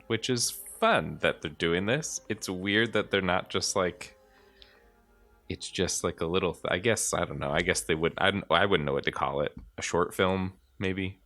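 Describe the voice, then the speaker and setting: Deep-voiced rambling podcast host man. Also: moderate pace, sharp tone, soft background music. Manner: rambling, hesitant, lots of fillers. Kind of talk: casual chat.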